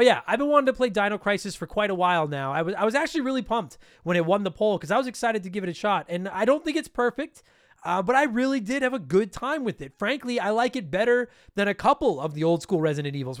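A high-pitched man rattles through his podcast intro at a quick clip, sounding stuffed up from allergies. His voice is clean and smooth, recorded dry and close on a podcast mic, with a loose, rambling, profane way of talking. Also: sing-song, no room sound. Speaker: podcast host. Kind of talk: casual podcast intro monologue.

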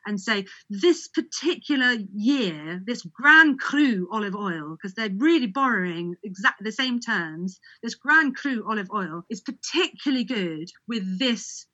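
A woman who sells olive oil, chatting as a guest, animated and laughing as she acts out what others said. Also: medium pitch, a little slow, few pauses. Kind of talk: casual chat. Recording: muffled lo-fi audio.

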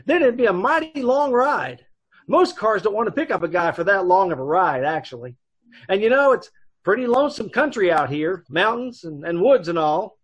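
A folksy male gas station attendant, acted by a man, chatty and a little hesitant. The voice is high for a man and husky, with very lively swings, in old-time radio style. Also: moderate pace, heavily acted. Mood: puzzled, folksy.

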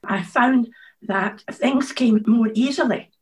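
A woman, an author answering interview questions in a thoughtful, measured way. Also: a little high, darkish tone. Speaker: author, guest.

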